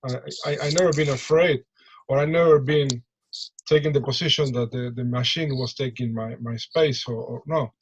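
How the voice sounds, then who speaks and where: A man with an Argentine Spanish accent talking casually in an interview, repeating words in halting non-native English. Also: mid-range voice, steady tone, husky, dull audio. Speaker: musician, percussionist and drummer interviewed.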